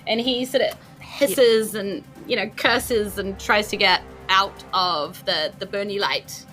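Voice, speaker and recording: A woman's voice: mid-range voice, slow pace, fairly bright tone, soft background music, poor audio. A woman with a New Zealand accent casually narrating a tabletop game as game master, playful in manner.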